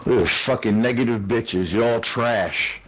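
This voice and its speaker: A man on in-game voice chat, hostile and insulting his teammates. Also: mid-range voice. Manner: hostile, insulting, slow drawl. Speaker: other player on game voice chat. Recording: faint, distant in-game voice chat.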